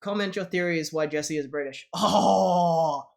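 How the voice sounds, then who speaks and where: A young Australian streamer chats loosely on a live stream, with an Australian accent. The voice sits at a middling pitch. They ramble, restart sentences and laugh often. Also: unhurried, gravelly, a little mellow. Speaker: streamer, channel host. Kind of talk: casual stream chat.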